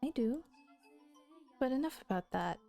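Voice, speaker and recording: A woman's voice: high-pitched, expressive, unhurried, gravelly, dark tone, soft background music, voice pushed up, full character voice. A woman voicing a pert, bossy young noble girl in a visual novel, coaxing and demanding. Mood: teasing, coaxing.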